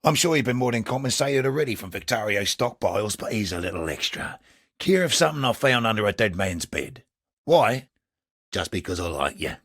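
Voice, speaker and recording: Gravelly, sly fantasy smuggler. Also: male voice, on the low side, sing-song, a little fast, a bit bright, no echo, clean studio sound, exaggerated voice. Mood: sly, conspiratorial. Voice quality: gravelly, raspy. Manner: colloquial working-class speech, sly and sardonic. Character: roguish, wry male smuggler and fixer in a fantasy city. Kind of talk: in-game character dialogue.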